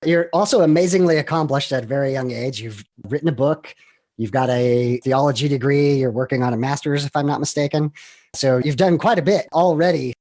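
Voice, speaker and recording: A man's voice: medium pitch, fairly quick, no room sound. A man hosting a podcast, introducing his guest and asking questions in an encouraging way. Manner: warm, encouraging interviewer with short backchannels. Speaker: podcast host. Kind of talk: podcast interview.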